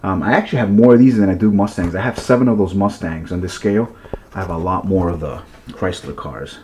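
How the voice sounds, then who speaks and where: A man's voice: sits low, a little fast, darkish tone, a little hiss or hum. A man with a stuffy nose showing his toy car collection at home in a rambling, chatty way, full of fillers and restarts. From Massachusetts, USA. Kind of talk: casual vlog.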